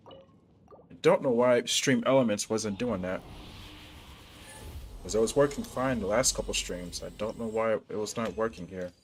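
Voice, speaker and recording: A man's voice: lowish voice, unhurried, pauses often, a little hiss or hum. A young man talking casually to his viewers while livestreaming a video game. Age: young adult.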